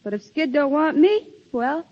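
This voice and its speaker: A woman's voice: sits high, very animated, unhurried. A woman playing a plucky, lovelorn young showgirl with an American accent and slangy, plain speech in a radio drama. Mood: wistful, resolute. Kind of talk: 1930s radio drama acting. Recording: muffled, noisy old radio recording.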